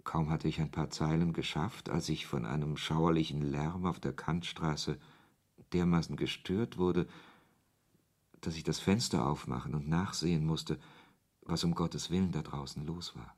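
An actor playing a wry author who narrates a radio play in the first person, in a husky voice. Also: male voice, extremely low pitch, steady tone, a little slow, darkish tone, full character voice. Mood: wry narration. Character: male author narrating his absurd encounter, wry and irritated.

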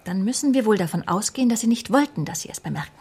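An actress playing a sly, self-assured police secretary in a radio drama, with a knowing, teasing manner. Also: female voice, mid-range voice, lively intonation, very fast, darkish tone, breathy edge. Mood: coolly reasoning. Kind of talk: naturalistic radio drama acting.

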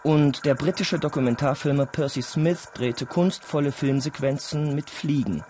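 A man's voice: a little high, normal speed, dark tone, slight background noise. A man reading scripted documentary-style narration as a narrator.

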